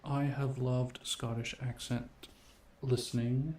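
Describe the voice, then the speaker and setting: A man hosting a casual ASMR stream from home, chatting softly and laughing often. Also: medium pitch, level tone, slow, reverberant, a little hiss or hum. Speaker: ASMR host. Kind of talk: casual live-stream chat. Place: home room. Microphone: multiple sensitive ASMR mics.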